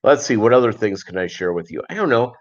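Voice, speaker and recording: A middle-aged American man chatting casually and unscripted on a livestream, rambling and halting with a jokey manner. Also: fairly low pitch, lively intonation. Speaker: fishkeeping livestream host and voiceover performer. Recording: dull lo-fi livestream audio.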